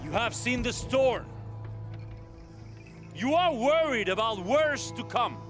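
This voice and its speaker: An actor playing a commanding male cult leader addressing a crowd in a slow, proclaiming manner, heard from a distance. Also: very high-pitched, expressive, fairly bright tone, faint music behind, full character voice.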